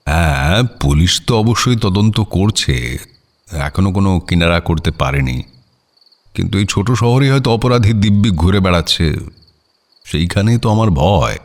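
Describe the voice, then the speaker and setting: An actor playing the genteel, anxious head of a landed family in a radio drama, courteous and hesitant. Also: male voice, low voice, expressive, moderate pace. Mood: uneasy, hesitant. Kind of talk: radio audio drama acting.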